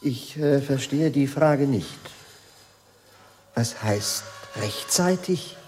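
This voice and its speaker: An actor in a German radio play playing a mild, nervous professor, explaining things in a hesitant, measured way. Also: male voice, medium pitch, expressive, a little mellow, soft background music. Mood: flustered. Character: Jefrosimov, mild, nervous, absent-minded scientist professor.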